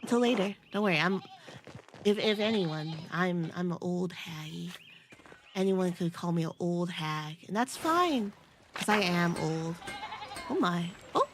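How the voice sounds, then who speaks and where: A woman's voice: lowish voice, lively intonation, measured pace, background noise. Giggly, nasal-voiced woman streamer. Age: about 30. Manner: giggly, rambling, self-teasing. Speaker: VTuber streamer. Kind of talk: casual live-stream chat while gaming.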